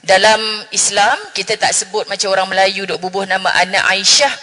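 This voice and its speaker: Middle-aged woman preacher with a northern Malaysian Malay accent; bright, animated, playful with the crowd; long hall reverb. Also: medium pitch, a little fast. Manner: chatty, jokes with the audience, Arabic phrases. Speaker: religious speaker. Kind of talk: lively religious forum talk. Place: mosque hall.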